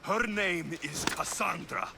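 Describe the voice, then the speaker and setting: A man's voice: high-pitched, slow, creaky, heavy background noise, full character voice. A man voicing a vengeful, battle-weary ancient Greek warrior and grieving son in a dramatic video game, with grave, intense delivery. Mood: bitter anger. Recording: music under the dialogue.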